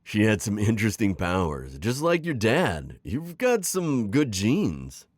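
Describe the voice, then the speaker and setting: Man acting a sly, swooping, low-voiced retired villain. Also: huge pitch swings, measured pace, no room sound, clean studio sound, heavily acted. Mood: wistful, sly. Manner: theatrical, teasing, manipulative coaxing with sighs and chuckles. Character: retired magical villain, middle-aged man, sly and teasing. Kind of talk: scripted ASMR roleplay acting.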